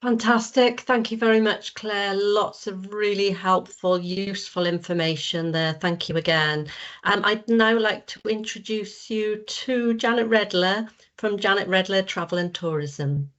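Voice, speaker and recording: A woman's voice: mid-range voice, a little slow, a bit bright, highs cut off. A woman hosting a webinar over a video call, upbeat as she thanks and introduces speakers. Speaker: webinar host.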